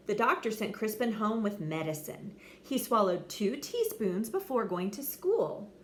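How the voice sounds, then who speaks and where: A woman's voice: mid-range voice, very animated, unhurried, slight background noise. A woman reading a children's story aloud with dramatic, theatrical inflection. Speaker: storybook reader. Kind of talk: expressive scripted story narration for children.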